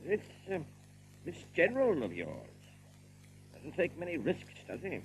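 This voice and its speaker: An actor playing a rabbit major in an audiobook drama, a courteous, wary leader who speaks politely and with some hesitation. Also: male voice, a little high, wildly swooping pitch, a little slow, a little hiss or hum, full character voice, low-quality recording. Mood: wry, wary.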